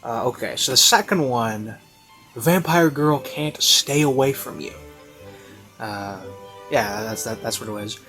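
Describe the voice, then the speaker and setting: Male online reviewer, mid-range voice, off-the-cuff and meandering with many ums and likes, over soft classical music. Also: medium pitch, unhurried. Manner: rambling, hesitant, filler-heavy. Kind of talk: casual unscripted review commentary.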